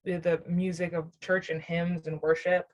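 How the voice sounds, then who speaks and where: Chatty reflective woman, slightly low voice. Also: little pitch movement, normal speed, a little mellow. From Southern US, near Nashville, Tennessee. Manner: reflective, rambling, many fillers, laughs often. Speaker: musician guest. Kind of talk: casual interview chat.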